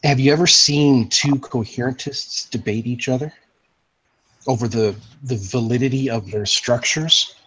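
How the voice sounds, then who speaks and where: Moderator, husky male voice, talks in long reasoned sentences over voice chat. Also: medium pitch, moderate pace, fairly bright tone, low-quality recording. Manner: explains in long philosophical sentences. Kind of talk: casual voice-chat discussion. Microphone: online voice chat.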